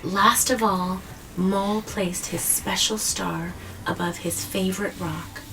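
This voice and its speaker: A woman with a mid-range voice hosts a read-aloud for children. She explains things gently and simply, often checking in with a question. The recording sits a little away from the mic, with faint background noise. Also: medium pitch, steady tone, takes it slowly, far from the mic. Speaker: host of a children's story read-aloud show. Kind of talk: picture-book reading and simple explanations to a child.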